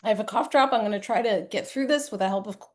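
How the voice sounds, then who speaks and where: A woman presenting at a conference session, hoarse from a cold and often clearing her throat, talking earnestly and conversationally with frequent fillers. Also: mid-range voice, quick pace. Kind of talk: live-streamed conference talk.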